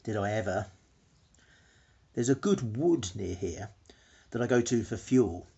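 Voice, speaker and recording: A man's voice: fairly low pitch, animated, unhurried, dark tone, slightly rough, exaggerated voice. An actor playing an eccentric, frugal vlogger, earnestly complaining to his viewers in a radio play. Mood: indignant. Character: eccentric frugal male vlogger, penny-pinching and aggrieved. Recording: muffled lo-fi vlog sound.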